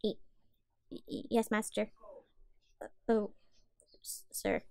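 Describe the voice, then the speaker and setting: A woman's voice: mid-range voice, fairly even intonation, slow, vocal fry, mellow, dry recording, studio quality. A woman playing a small, meek, eager-to-please catgirl in an audio roleplay, stammering hesitantly and apologetically. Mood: meek, apologetic. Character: timid, eager-to-please small catgirl, homeless stray kitten. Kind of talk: audio roleplay addressed to the listener.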